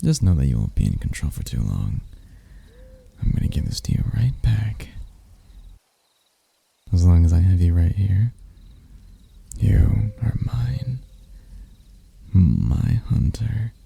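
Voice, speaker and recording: A smug demon lover acted out by a man in an intimate audio roleplay, with a very dark, velvety low tone. He is husky and gravelly, teasing his prey with smooth, deliberate menace and drawing each phrase out slowly. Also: very animated, exaggerated voice. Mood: hungry, possessive. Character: seductive, smug male demon in human form, mocking hunter.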